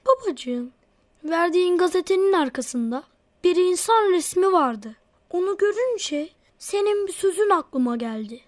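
A woman's voice: very high-pitched, animated, unhurried, no echo. A woman voicing an eager, wheedling little boy in a children's cartoon, sweet to his elders. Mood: proud, eager.

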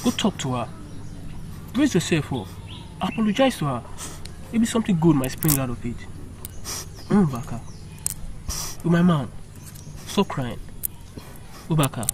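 A man's voice: on the high side, animated, measured pace, pauses often, a little mellow. A man playing a blunt, coaxing loyal friend. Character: loyal young male friend, blunt and consoling. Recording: clear background noise.

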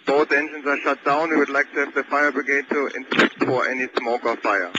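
A man's voice: medium pitch, fairly even intonation, a little fast. A male airline pilot giving a procedural report over aircraft radio. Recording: noisy, dull aircraft radio.

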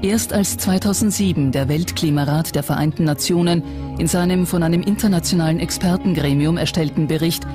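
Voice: medium pitch, brisk. Documentary narrator over prominent music.

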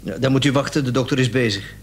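A man's voice: mid-range voice, fast, close to an everyday voice. Actor as curt male attendant in echoey room. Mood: officious. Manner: curt, officious, questioning. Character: stern, matter-of-fact male first-aid attendant. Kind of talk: Dutch radio play acting. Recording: echoey room, faint noise.

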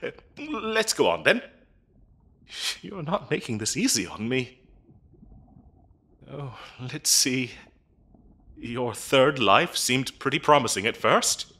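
A man's voice: mid-range voice, very animated, takes it slowly, pauses often, bright, forceful tone, husky, heavily acted. A man playing a fussy, bureaucratic demon interviewer in a comedic audio roleplay, officious and chatty with hesitant, dryly polite phrasing. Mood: exasperated, disappointed. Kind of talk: comedic audio roleplay acting, addressing the listener.

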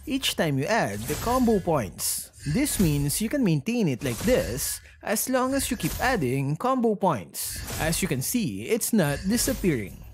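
A gaming video host with a medium-pitched, very expressive voice. The delivery is scripted explainer narration, set over background music. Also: unhurried, few pauses. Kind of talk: scripted game update narration.

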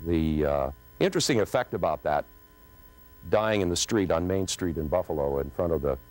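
A middle-aged man with a very low voice tells a story from his radio career. His phrasing is polished, deliberate and dramatic, like a practised broadcaster on stage. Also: a little mellow, low-quality recording. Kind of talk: polished anecdotal storytelling to an audience. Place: on a stage in front of a curtain.

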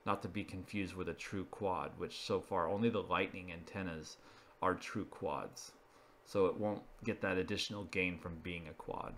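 A man's voice: low-pitched, steady tone, moderate pace, fairly bright tone, slight background noise. A man presenting an informal narrated slideshow, explaining each item in a matter-of-fact way. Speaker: video presenter.

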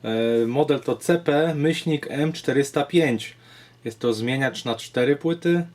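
A man's voice: medium pitch, a little slow. A man hosts a casual showcase of audio gear, explaining in short, unscripted, somewhat hesitant phrases. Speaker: host of an audio gear repair channel. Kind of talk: casual product showcase vlog.

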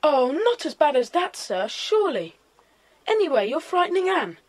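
Children's audio play: British boy leader, polite, self-assured, high and animated. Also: normal speed, rough recording. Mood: polite, firm. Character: polite, sensible boy, leader of young friends. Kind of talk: children's audio drama acting.

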